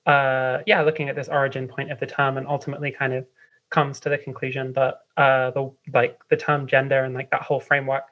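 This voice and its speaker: Slightly lo-fi audio, woman, London accent, low voice, discursive interview guest, hesitant casual delivery. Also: little pitch movement, brisk. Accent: London English. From London, England. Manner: hesitant, discursive, frequent "like" and "kind of". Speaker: interview guest, writer and editor. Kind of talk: casual explanatory interview talk.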